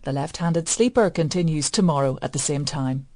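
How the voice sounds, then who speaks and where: A woman's voice: deep voice, moderate pace, warm tone. A woman reading a scripted radio trailer as continuity announcer.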